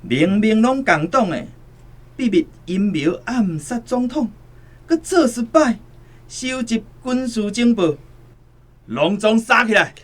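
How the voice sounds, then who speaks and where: An actor playing a ruthless, paranoid security chief with a Taiwanese accent, accusing and commanding. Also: male voice, high voice, animated, takes it slowly, heavily acted. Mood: menacing.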